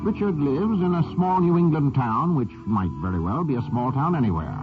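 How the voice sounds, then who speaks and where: A man's voice: fairly high pitch, animated, a little fast, lightly acted. A man narrating a radio play in a folksy, genial, tongue-in-cheek scripted style. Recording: tinny vintage radio broadcast with music.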